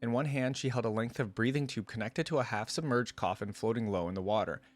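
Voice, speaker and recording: A man's voice: medium pitch, fast. A man hosting a reaction video, reading a story aloud and breaking off for casual, slangy commentary.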